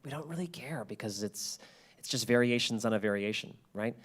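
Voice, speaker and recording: A man's voice: mid-range voice, animated, a little fast, a bit bright, slightly rough. A man giving a public talk to an audience, speaking emphatically and repeating key phrases.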